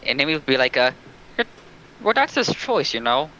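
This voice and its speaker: Hesitant man, bright forceful voice, dull mic. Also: mid-range voice, very animated, normal speed. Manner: hesitant, heavy use of filler words. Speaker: stream participant. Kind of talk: casual discussion on a livestream. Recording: dull lo-fi mic, faint noise.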